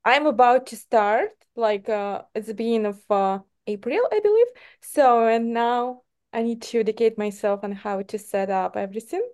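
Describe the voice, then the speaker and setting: Cheerful woman from Belarus, smooth voice. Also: a little high, lively intonation, moderate pace, hardly any pauses, darkish tone. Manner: cheerful, laughing often. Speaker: online education business owner. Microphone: video call.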